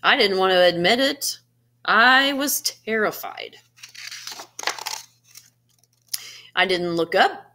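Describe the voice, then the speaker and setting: A woman voicing an earnest, anxious yet determined egg in an expressive picture-book read-aloud, with dramatic emphasis and pauses. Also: medium pitch, background noise. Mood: nervous, determined.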